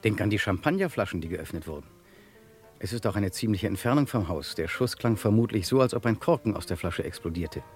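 An actor playing a methodical police detective in a German crime radio play, terse and commanding. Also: male voice, lowish voice, expressive, fairly quick, soft background music, lightly acted. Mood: commanding, sober. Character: methodical, insistent male police detective leading a murder case.

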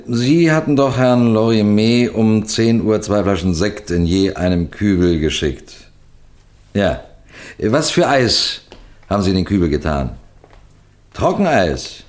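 A man acting a shrewd middle-aged police detective in a radio mystery, with a deliberate, lecturing manner that builds suspense through pauses. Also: on the low side, very animated, darkish tone. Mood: brisk, inquiring. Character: shrewd, unhurried senior police detective explaining a case. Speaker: detective narrator.

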